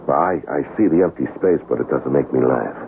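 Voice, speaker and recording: A man's voice: very deep voice, a little fast, gravelly, background noise. An actor playing a wary, wry American gunman in a 1970s radio drama, with a blunt and guarded manner. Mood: dry, attentive. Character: wary, wry American amnesiac gunman telling his story. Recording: muffled vintage radio, music underneath.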